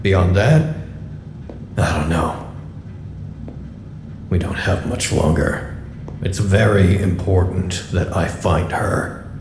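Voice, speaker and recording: A man's voice: deep voice, slow pace, long pauses. A man playing a frantic, rambling facility worker in an audio drama, searching and talking theatrically to himself. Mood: anxious. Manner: theatrical, rambling, talks to imagined listeners. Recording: echoey room with faint noise.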